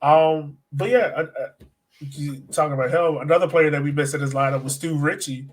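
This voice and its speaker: A man's voice: a little high, steady tone, fairly quick. A man hosting a sports podcast in casual chat, with a colloquial, buddy-to-buddy way of talking.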